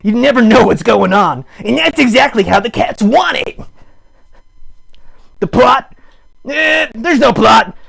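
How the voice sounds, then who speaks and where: A man voicing a chatty, goofy puppet who hosts a live show, rambling playfully in a raspy, croaky voice. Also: extremely high pitch, animated, a little slow, long pauses, fairly bright tone, exaggerated voice. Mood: playfully excited. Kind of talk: improvised comic rambling to live chat.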